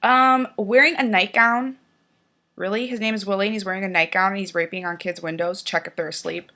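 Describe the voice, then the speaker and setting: A woman's voice: mid-range voice, steady tone, fairly quick, pushed and bright. A woman with a head cold, sniffling as she mocks a children's book in a sarcastic, profane casual commentary. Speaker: YouTuber.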